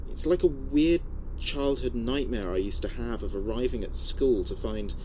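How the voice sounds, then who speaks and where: A man's voice: mid-range voice, normal speed, darkish tone, a little hiss or hum, lightly acted. A man playing an anxious, brooding spaceship communications officer reading his log in a scripted sci-fi horror audio drama. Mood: uneasy, reflective. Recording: music under voice.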